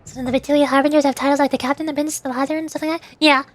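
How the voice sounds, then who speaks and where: A woman's voice: high-pitched, fairly even intonation, rapid-fire, no room sound. A woman game streamer chatting casually and explaining things in a rambling way. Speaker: streamer, virtual YouTuber. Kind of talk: casual game livestream chat.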